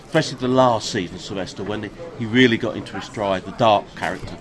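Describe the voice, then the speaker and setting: A man being interviewed as a fan, speaking enthusiastically and a little haltingly. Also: fairly low pitch. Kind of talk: casual vox pop chat. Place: busy shopping venue. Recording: crowd noise behind. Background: crowd chatter.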